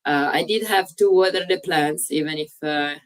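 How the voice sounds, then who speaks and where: A woman in her thirties from Italy with an Italian accent, a fitness coach talking as an interview guest, pausing often on "uh" and using slightly non-native phrasing. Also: low voice. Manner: frequent "uh" pauses, slightly non-native grammar. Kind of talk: casual interview chat.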